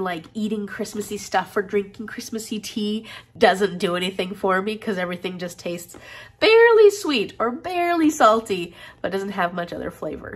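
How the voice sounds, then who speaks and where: A woman in her thirties, congested with a head cold, chatting casually and upbeat to camera in her living room. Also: medium pitch. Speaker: book vlogger. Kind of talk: casual vlog chat.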